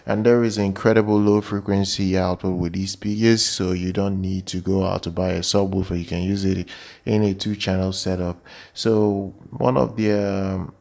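A man giving an informal product news voice-over as a channel presenter, with halting, repetitive phrasing. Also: sits low, little pitch movement, gravelly, darkish tone.

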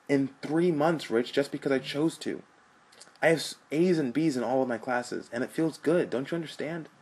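A man's voice: on the low side, darkish tone, slightly rough. A man voicing an earnest young college student who lectures his older brother.

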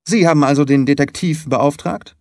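A man voicing a quarrelsome, stingy little old lord in an audiobook, with a sharp, screechy voice and a tense, commanding manner. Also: fairly high pitch, normal speed, no echo, clean studio sound, voice pushed up, natural voice. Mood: suspicious, demanding. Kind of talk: dramatised audiobook reading.

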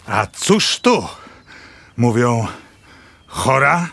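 Pompous, teasing aristocrat for radio verse drama; very slow, highly animated intonation. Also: male voice, creaky, no room sound, heavily acted. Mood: teasing, amused. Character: jovial, teasing, tactless old-world count.

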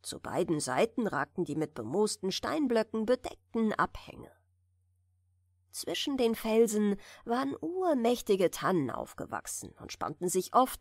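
Soft soothing lady reading a fairy tale for sleep, slow, lilting, bit of creak, dry close sound. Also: medium pitch, no room sound. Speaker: audiobook reader. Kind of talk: gentle bedtime fairy tale narration.

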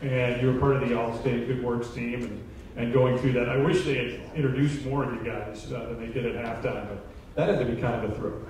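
A man's voice: medium pitch, fairly even intonation, brisk, a little mellow, slight background noise. A middle-aged man hosting a casual live interview on stage with a handheld microphone. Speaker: interviewer, radio play-by-play announcer. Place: indoor stage in an auditorium. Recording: echoey hall PA, distant, audience behind.

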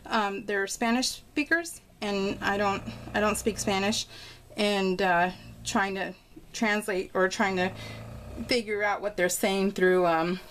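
Woman doing a chatty crafting vlog, medium pitch, rambles along, bit of noise behind her. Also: steady tone, slow pace, fairly bright tone, low-quality recording. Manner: rambling, informal storytelling with exclamations. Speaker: craft vlogger showing a scrapbook layout. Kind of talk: casual, chatty real-time craft voice-over. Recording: clear background noise.

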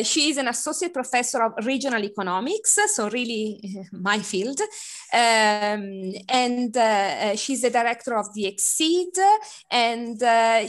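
Female seminar host, 40s, headset mic, rambling and filler-heavy, slightly high. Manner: chatty, hesitant with many fillers. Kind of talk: introducing a speaker on a video call. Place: home room by shuttered window.

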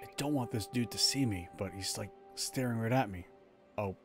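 A man's voice: lowish voice, normal speed. A man in his thirties streaming a game live from a small room at home, muttering casual, self-mocking commentary to himself as he plays. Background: game music.

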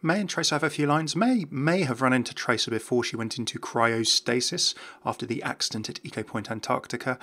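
A man narrating game lore from a script as a video host. His voice is mid-pitched and bright, with a gravelly creak. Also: fairly quick, hardly any pauses.

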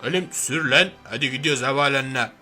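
A man puts on a brash, slangy macho oaf from a cartoon parody. The voice is sharp and forceful, full of grumbling bluster. Music plays under it. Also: mid-range voice, quick pace. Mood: gruff, defiant. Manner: blustering, slangy, threatening then sentimental. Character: brash, grumbling, hairy macho man turned reluctant superhero. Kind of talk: cartoon parody character dub.

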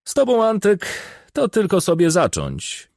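A man's voice: sits high, wildly swooping pitch, unhurried, vocal fry, darkish tone, no echo, studio quality, voice pushed up, full character voice. A man voicing a self-important, sly village official who talks in an emphatic, colloquial, wheedling way, in an audiobook. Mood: grudging bantering.

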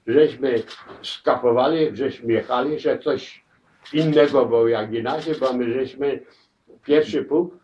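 An elderly man shares his war memories in a crowded living room. He speaks haltingly, repeating words and restarting his sentences. He is picked up from across the room on a dull camera mic. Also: mid-range voice. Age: older. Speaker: war veteran interviewee. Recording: distant, dull camera audio.

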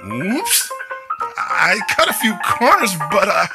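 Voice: medium pitch, huge pitch swings, slow pace, creaky, exaggerated voice. An actor voicing an easygoing, bumbling dog dad inventor in a cartoon game, breezy and sheepish. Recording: loud music behind dialogue.

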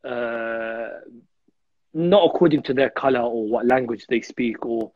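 Earnest husky man on a video call. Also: mid-range voice, a little slow, no room sound, highs cut off. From Iraq. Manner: earnest, repeats words while thinking. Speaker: guest speaker. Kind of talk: religious discussion. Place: home room on a video call.

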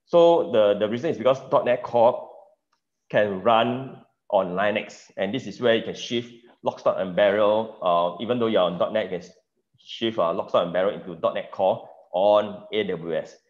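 A deep-voiced man with a Singaporean English accent talks through tech news in a casual, halting way, full of fillers and "right?" tag questions. He is on a video call from a room that sounds roomy, and the audio is dull. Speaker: meetup presenter.

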